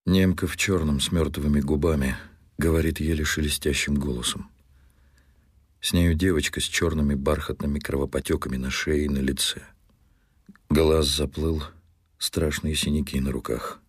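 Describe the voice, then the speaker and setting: A grave wartime writer in a radio documentary. His voice is extremely deep and husky, and he delivers every line with weight. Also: fairly even intonation, moderate pace, no room sound, clean studio sound.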